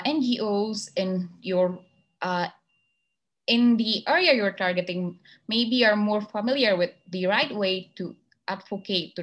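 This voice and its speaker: Young Indonesian-accented woman, online masterclass speaker, halting and filler-heavy, on a video call mic. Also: medium pitch, a bit bright. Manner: hesitant, many fillers and restarts. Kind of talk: online presentation with slides.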